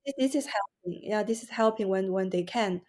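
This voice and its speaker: A woman's voice: medium pitch, a little mellow. Woman w/ mandarin accent, guest on a podcast, lots of um and restarts. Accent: Mandarin Chinese. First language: Mandarin Chinese. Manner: halting, many restarts and fillers. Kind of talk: podcast interview.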